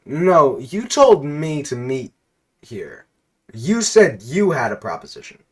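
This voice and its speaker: A man's voice: fairly high pitch, very animated, slow pace, husky, voice pushed up, heavily acted. Man doing a rattled, pleading sidekick. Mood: confused. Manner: exclaiming, stammering, pleading. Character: confused, shocked, peace-making male sidekick, easily rattled.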